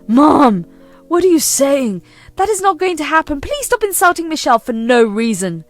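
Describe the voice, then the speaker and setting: A woman voicing a patient grown son who answers his mother in a measured, firm way. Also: sits high, sing-song, faint music behind, voice pushed up.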